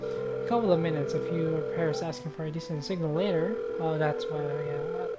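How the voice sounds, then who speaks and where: Low-voiced woman reading a story aloud, music behind. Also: steady tone, normal speed. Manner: stumbles over words and rereads phrases. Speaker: streamer. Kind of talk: reading visual novel narration aloud on stream.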